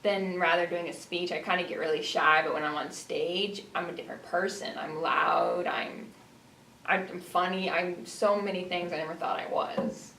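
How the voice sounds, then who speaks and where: A woman's voice: lowish voice, steady tone, moderate pace, fairly bright tone. A teenage girl, a student actor, giving casual answers in an interview. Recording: echoey room, distant mic, faint noise.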